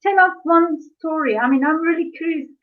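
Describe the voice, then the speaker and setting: Female host, Turkish first language, halting questions, tinny call audio. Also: very high-pitched. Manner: halting, searching for words in English. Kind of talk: live-stream interview.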